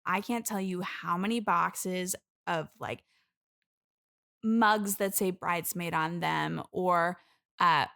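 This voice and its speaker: A woman's voice: lowish voice, steady tone, takes it slowly, vocal fry, pushed and bright, exaggerated voice. A young woman playing an eager, earnest bridesmaid in an improvised comedy interview, chatty and rambling. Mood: eager, gushing. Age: young adult. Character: eager, earnest expert bridesmaid desperate for friends. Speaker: podcast guest.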